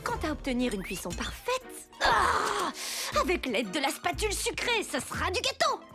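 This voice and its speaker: A very high-voiced, lazy cartoon villainess whines and schemes theatrically. Her lines swoop dramatically over clear background music, as if every chore bored her. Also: wildly swooping pitch, moderate pace, sharp tone, heavily acted. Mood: scheming. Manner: theatrical, whiny drawl. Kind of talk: children's cartoon dub.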